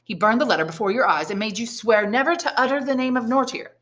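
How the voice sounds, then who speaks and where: A woman reading the part of a learned old male prisoner, a priest, shrewd and kindly, in deliberate, probing phrases. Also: fairly high pitch, animated, a bit bright, voice pushed up. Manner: deliberate, probing questions and measured explanations.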